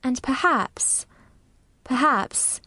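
A woman voicing an earnest young girl who speaks softly and slowly in a storybook reading. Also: sits high, animated, dry recording, pitched above the performer's own voice. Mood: soothing. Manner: soft, slow, soothing storytelling. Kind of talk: audiobook character voice.

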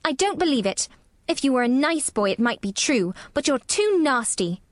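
Lady doing a fierce stubborn little girl from a storybook, high voice, really swoopy, sharp and contrary. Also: sing-song, moderate pace, dry recording. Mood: scornful.

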